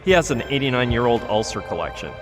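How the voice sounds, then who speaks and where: Actor as wry adventure-game hero, animated delivery, music bed. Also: male voice, mid-range voice, quick pace, big-room sound, lightly acted. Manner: sarcastic, joking. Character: wisecracking young male adventure hero. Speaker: game protagonist.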